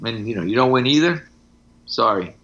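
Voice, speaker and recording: A man's voice: mid-range voice, lively intonation, moderate pace, fairly bright tone, lo-fi sound. A middle-aged man, a strength coach, talking casually on a podcast in anecdotes and examples, with firm opinions.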